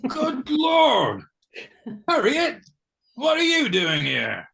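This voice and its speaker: A man playing a blustery, oblivious knighted gentleman in a comic stage play, sounding puzzled. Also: very high voice, wildly swooping pitch, drawn-out words, vocal fry, heavily acted. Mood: bewildered. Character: bewildered, blustery English-style knighted gentleman, oblivious husband.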